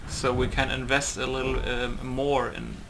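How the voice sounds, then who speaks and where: A man's voice: medium pitch, little pitch movement, normal speed. A man giving casual, rambling playtest commentary on a video game, thinking aloud. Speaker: gaming video maker. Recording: game noise behind the voice. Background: video game ambience.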